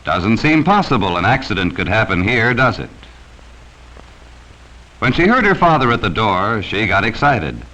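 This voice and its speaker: A man's voice: lowish voice, big pitch swings, normal speed, bright, forceful tone, low-quality recording. An American man narrating a 1940s educational safety film from a script, speaking sternly and cautioning children directly, on a vintage film soundtrack.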